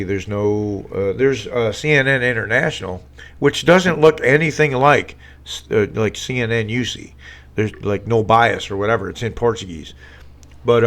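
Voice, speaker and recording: A man hosting a casual live stream from home, talking politics in a sarcastic, rambling way with many fillers and restarts. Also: medium pitch. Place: home room with a green screen.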